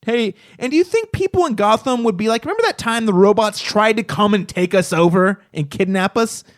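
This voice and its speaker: A man's voice: high voice, animated, fairly quick, no echo, clean studio sound. A man co-hosting a podcast in casual, informal banter, repeating phrases for emphasis. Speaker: podcast co-host.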